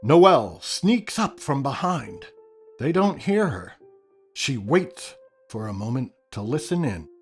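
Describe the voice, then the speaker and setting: A man's voice: medium pitch, very animated, slow pace, soft background music. A man reading scripted stage narration for a radio play.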